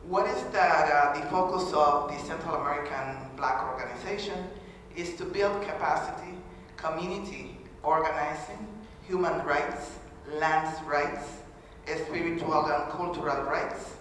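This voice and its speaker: Woman in her sixties, earnest halting talk, boomy echoing hall, faint background murmur. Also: a bit bright, slight background noise. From Honduras. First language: Garifuna. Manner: earnest, halting delivery with many pauses and restarts. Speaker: speaker at a panel event. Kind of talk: formal public talk. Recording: echoey hall from far off, faint ambience.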